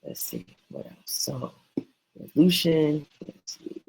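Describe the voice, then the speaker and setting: Hoarse man, raspy and gravelly, chatty writing tutorial, encouraging, rambling. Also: fairly high pitch, wildly swooping pitch, takes it slowly, warm tone, low-quality recording. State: losing voice, hoarse. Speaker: self-published author teaching writing.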